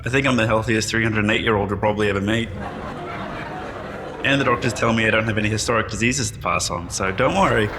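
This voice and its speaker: A man's voice: on the low side, quick pace, crowd noise, natural voice. An actor playing a wry, modest man revived from cryostasis, speaking in a reflective, conversational way in a sci-fi audio drama. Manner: self-deprecating, reflective, conversational. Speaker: interviewee at a press appearance. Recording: long hall reverb, music behind.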